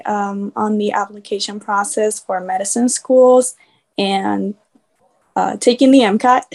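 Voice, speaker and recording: A woman's voice: medium pitch, vocal fry, darkish tone. A woman, speaking earnestly as a guest on a video call. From Puerto Rico. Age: 20s. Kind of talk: casual video-call chat.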